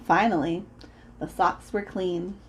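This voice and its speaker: A woman reading a children's story aloud in an expressive, deliberate way, as a storyteller acting out the sound words. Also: fairly low pitch, a little hiss or hum, rough recording.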